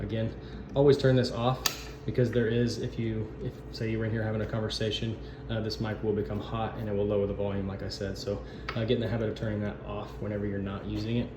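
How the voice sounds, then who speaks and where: A man giving an informal instructional walkthrough of equipment, explaining in a halting, improvised way. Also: on the low side. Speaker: sound system installer giving a walkthrough. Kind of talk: informal instructional demo narration. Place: indoor camp office. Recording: echoey room, noisy ambient background.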